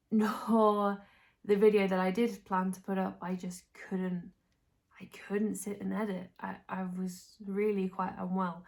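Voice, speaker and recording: A young woman from England hosting a knitting podcast, chatting casually while she sounds nasal and hoarse from a recent illness, with a rambling, restarting way of talking. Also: mid-range voice, level tone, measured pace, a little mellow. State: hoarse, congested, recovering from illness. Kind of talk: casual chat to camera.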